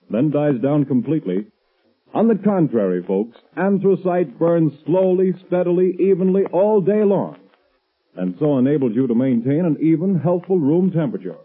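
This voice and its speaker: A man's voice: a little high, breathy edge. An American man announcing a 1930s radio commercial in a formal, emphatic sales manner. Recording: muffled old 1930s radio recording.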